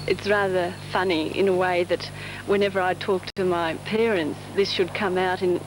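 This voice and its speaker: A woman from the Netherlands reflecting in a hesitant, thoughtful way in a documentary interview, heard on a hissy 1970s TV documentary recording. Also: fairly low pitch.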